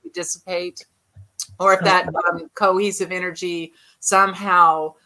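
An American woman co-hosts a casual podcast chat, rambling as she thinks aloud. Her voice is bright and forceful, sitting slightly low. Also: level tone, normal speed, low-quality recording. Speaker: podcast co-host.

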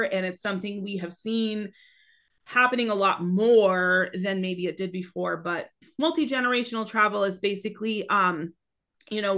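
A woman in her thirties co-hosting a podcast in casual chat, her voice a little raspy from a cold, talking loosely with many restarts. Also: mid-range voice, fairly bright tone. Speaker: podcast co-host.

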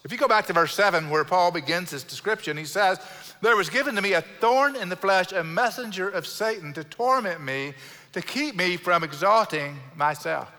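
A middle-aged man with a South Houston Texan accent, preaching a sermon in an earnest way, slowing down to stress key words and adding light self-deprecating humor. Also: sits high, expressive, sharp tone. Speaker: pastor. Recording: long hall reverb from a church sanctuary.

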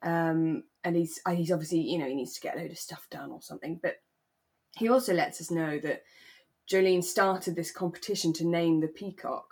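Woman podcast cohost, bit on the low side, relaxed chatty recap style. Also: brisk, slightly rough. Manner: conversational, checks in with co-host. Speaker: podcast co-host. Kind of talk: relaxed casual chat recapping a radio drama.

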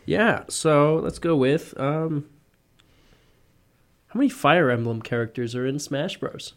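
A man's voice: a little high, animated, takes it slowly, a bit bright. A man co-hosting a podcast in casual conversation. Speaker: podcast co-host. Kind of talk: casual chat.

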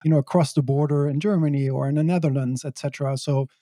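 A middle-aged man from Belgium, speaking as an interview guest in a reflective, measured way with occasional laughs. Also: a little high, steady tone, mellow, dry recording, clean studio sound. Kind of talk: conversational interview.

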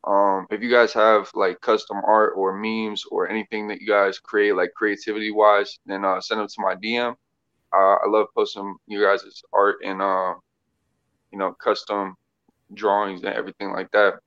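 A man introducing himself as the social media person, halting, with many fillers and restarts. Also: fairly low pitch, little pitch movement, a bit bright. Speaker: social media team member. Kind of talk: casual self-introduction. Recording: dull lo-fi audio.

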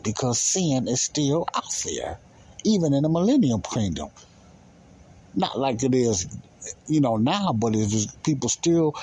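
A man's voice: mid-range voice, darkish tone, breathy edge. A man teaching the Bible in an informal sermon, speaking emphatically, with repetition and rhetorical questions.

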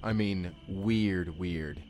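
A man's voice: low voice, drawn-out words, darkish tone. A man playing an awkward, friendly, evasive young man in an immersive audio drama, chatty and hesitant, often joking. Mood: hesitant, sincere. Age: young adult. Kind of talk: immersive first-person audio roleplay. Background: music.

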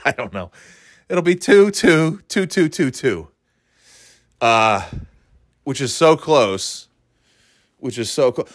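A middle-aged man with a slightly stuffy nose, hosting a rambling solo chat, meandering and breaking off mid-thought, then getting playfully excited. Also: high voice, no echo, studio quality. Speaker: podcast host.

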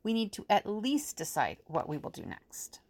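Woman playing organized serious type in audio drama, fry in the voice, swingy pitch. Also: wildly swooping pitch, normal speed, no echo, exaggerated voice. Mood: matter-of-fact. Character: practical, serious, organized woman who sets goals.

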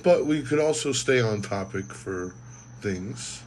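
A man's voice: lowish voice, lively intonation, takes it slowly, crowd noise, poor audio. A man co-hosting a casual podcast, rambling with many fillers and trailing off. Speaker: podcast co-host. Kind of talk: casual chat.

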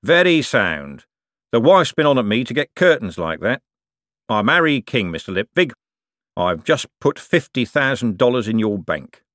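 A man voicing a gruff, rich businessman, who talks slowly and boastfully. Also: medium pitch, very animated, a bit bright, no room sound, clean studio sound. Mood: genial. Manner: boastful, blunt, slow and emphatic. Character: gruff rich working-class male businessman.

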